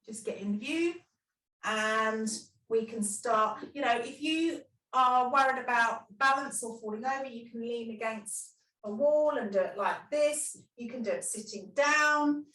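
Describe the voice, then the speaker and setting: A woman's voice: on the high side, measured pace, fairly bright tone, low-quality recording. A middle-aged woman with a chatty, encouraging manner, teaching self-care exercises as she demonstrates them. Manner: chatty, encouraging, many fillers like "you know". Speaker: kinesiology teacher. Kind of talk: instructional talk with demonstrations.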